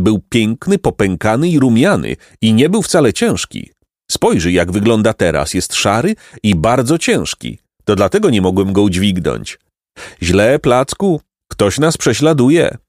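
A man's voice: lowish voice, darkish tone, dry recording, clean studio sound, voice pushed up. A man voicing a thoughtful, troubled young boy in a storybook, puzzled and hesitant. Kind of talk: storybook character reading.

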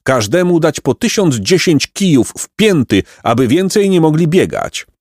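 A man's voice: fairly high pitch, big pitch swings, normal speed, a little mellow, no echo, studio quality, voice pushed up. A man playing a stern storybook count who is imperious and demanding. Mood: imperious anger. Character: vain, stern count ordering cruel punishments.

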